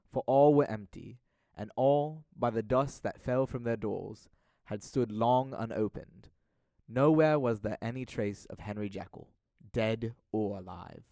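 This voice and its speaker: A man's voice: medium pitch, dark tone, no echo. A young man reading scripted dramatic narration of a classic tale, as narrator of an audio drama. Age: young adult.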